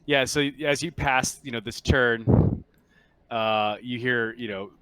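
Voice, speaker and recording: Man, mid-range voice, game master, casual halting narration, improvised phrasing. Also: medium pitch, steady tone, fairly bright tone, smooth, no echo, low-quality recording.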